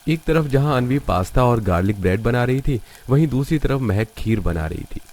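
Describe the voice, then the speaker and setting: A man's voice: mid-range voice, expressive, brisk, darkish tone. A man narrating a scripted cartoon story.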